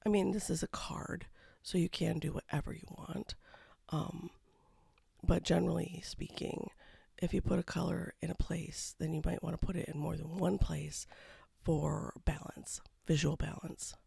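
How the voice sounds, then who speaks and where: An artist talking casually over a painting time-lapse, with a froggy voice from a cold and a low-key, rambling manner. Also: level tone, normal speed, vocal fry, dark tone, husky, no echo. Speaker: watercolor artist. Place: home studio.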